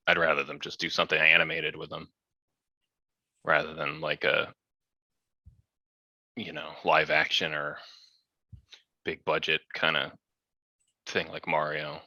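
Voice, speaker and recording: Male podcast panelist, low-pitched with a very sharp bright edge, loose casual slangy talk. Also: no echo, low-quality recording. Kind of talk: casual chat about games and films.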